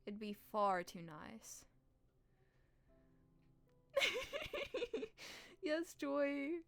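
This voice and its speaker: A woman streamer, playful and expressive, reading a visual novel aloud and chatting on a live stream. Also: fairly high pitch, drawn-out words, gravelly, clear, resonant tone, faint music behind. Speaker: game streamer. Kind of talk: live stream chat and read-aloud narration.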